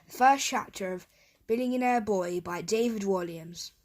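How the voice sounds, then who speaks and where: A woman narrating a children's audiobook in an expressive storytelling way, with the odd stumble over words. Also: medium pitch, a little slow. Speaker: audiobook reader.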